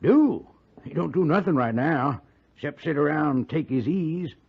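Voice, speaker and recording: Creaky, high-voiced sly Western old-radio hand. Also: male voice, expressive, normal speed, heavily acted. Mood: sly, folksy. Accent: American Western. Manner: drawling, garrulous, salesmanlike. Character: folksy, sly male frontier stagecoach station attendant. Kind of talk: 1950s radio western acting. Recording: muffled, hissy 1950s radio recording.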